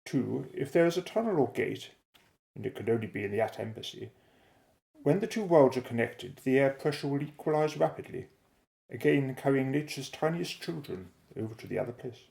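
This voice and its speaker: A man playing an unflappable, methodical explorer and airship pilot in an audio drama, speaking in a measured, old-fashioned, explanatory way. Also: medium pitch, expressive, creaky, darkish tone. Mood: thoughtful.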